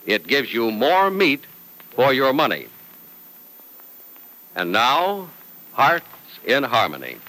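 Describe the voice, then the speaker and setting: Bright, animated old-time radio announcer man. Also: medium pitch, rough recording. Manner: polished, deliberate, dramatic. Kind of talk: 1940s radio announcing, scripted narration. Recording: old radio broadcast recording.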